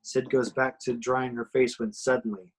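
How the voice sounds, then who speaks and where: A man's voice: medium pitch, flat delivery, moderate pace, slightly rough. Man narrating horror action, slightly muddy voice. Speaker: reader of the action description. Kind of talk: dramatic screenplay narration at a table read.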